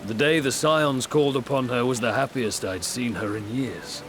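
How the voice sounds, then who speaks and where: An actor playing a middle-aged bereaved father in a video game, consoling and proud, with a gentle, earnest, steady manner. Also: mid-range voice, normal speed, close to an everyday voice. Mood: sad, consoling. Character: warm, consoling, proud father mourning his daughter. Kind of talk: dramatic video game voice acting. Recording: rain and music behind. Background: rain, music.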